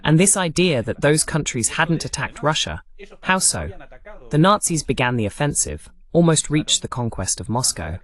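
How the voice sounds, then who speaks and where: A man's voice: on the high side, sing-song, moderate pace. A man hosting a live political broadcast, arguing emphatically with rhetorical questions and repetition. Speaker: political show host.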